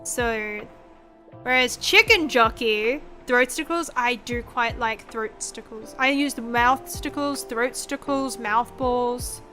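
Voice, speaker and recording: A woman's voice: fairly high pitch, slow pace, bright, forceful tone. A woman in her twenties chatting casually as a livestreamer, rambling and swearing freely, acting out little quotes as she goes. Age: 20s. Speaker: streamer, VTuber host. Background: background music.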